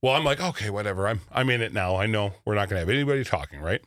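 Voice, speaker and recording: A man's voice: on the low side, expressive, racing, fairly bright tone, no echo, studio quality. A man co-hosting a radio show, talking in a slurred, lisping way because of a device in his mouth, laughing often as he chats. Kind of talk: casual banter.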